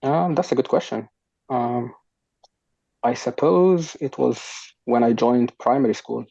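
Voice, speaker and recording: A man's voice: medium pitch, unhurried, gravelly, dark tone, no echo. A young man from Sudan, a podcast guest, answering thoughtfully and a little hesitantly with many fillers, speaking over a headset on a video call from home. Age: young adult. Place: home room, sitting on a sofa. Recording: dull lo-fi video call audio.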